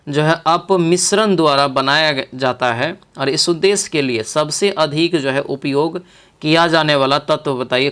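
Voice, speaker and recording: Male tutor from India, smooth crisp voice on the higher side, informal quiz walkthrough with repeated key phrases. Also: fairly bright tone. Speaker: exam-prep teacher. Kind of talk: instructional quiz walkthrough, reading questions and explaining answers.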